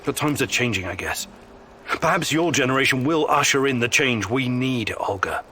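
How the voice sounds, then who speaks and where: An actor playing an earnest, determined male scientist in a video game, speaking in a serious, measured, explanatory manner. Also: medium pitch, expressive, pushed and bright, slight background noise. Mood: firm, weary. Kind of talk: video game character acting.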